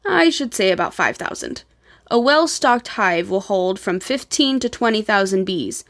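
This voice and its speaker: A woman reads the part of a kindly old grandfather from a children's storybook. He is gentle and knowing, talking to children in old-fashioned, explanatory sentences. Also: medium pitch, expressive, normal speed. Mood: explaining.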